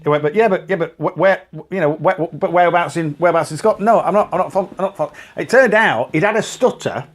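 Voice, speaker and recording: A chatty man co-hosting a podcast, his voice sitting a little higher than most. He tells stories in a casual way, breaking into laughter often. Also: fast, few pauses. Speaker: podcast co-host. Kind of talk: casual banter.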